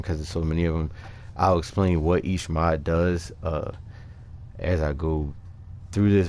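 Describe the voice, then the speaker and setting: Low-voiced man casually explaining a game build. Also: a little mellow. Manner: halting, informal slang. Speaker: gaming video creator presenting character builds. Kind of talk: casual unscripted gameplay walkthrough commentary.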